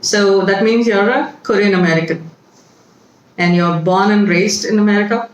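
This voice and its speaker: A woman's voice: mid-range voice, normal speed, roomy sound, a little hiss or hum, poor audio. A woman hosting an online interview, friendly with hesitant fillers. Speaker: host.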